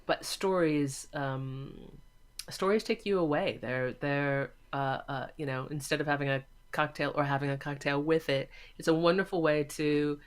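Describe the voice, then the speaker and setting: A woman's voice: low-pitched, fairly even intonation, normal speed. Emphatic woman chatting as interview guest. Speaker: interview guest, teacher of storytelling students. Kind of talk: casual interview conversation.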